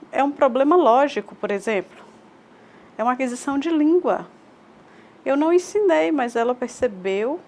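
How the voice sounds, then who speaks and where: A Brazilian woman with a fairly high voice teaches a recorded online class. She builds her points through rhetorical questions and repetition, pausing to check with her students. Her delivery is patient and explanatory. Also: a little mellow. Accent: Brazilian Portuguese.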